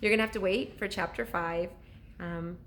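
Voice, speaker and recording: A woman reading a children's story aloud like a teacher to pupils, with expressive, deliberate narration. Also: lowish voice, a little hiss or hum.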